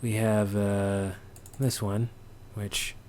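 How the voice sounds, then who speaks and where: Guy with a lowish voice walking thru his sound design, unsure, thinking out loud, bit of background noise. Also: little pitch movement, extremely slow. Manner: hesitant, thinking aloud, throat-clearing. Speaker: sound designer presenting a tutorial. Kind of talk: casual screen-recorded walkthrough.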